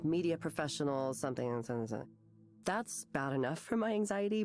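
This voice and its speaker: An actress playing an anxious, self-mocking young artist in a visual novel, with an American accent and a hesitant, rambling way of talking. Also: female voice, low voice. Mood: nervous. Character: nervous, self-deprecating, struggling young woman artist. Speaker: counseling client. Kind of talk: visual novel voice acting, conversational confession.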